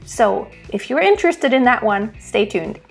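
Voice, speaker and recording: A woman from Germany, a vocal coach explaining diction in a slow, deliberate lesson. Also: on the high side, animated, vocal fry, over loud music.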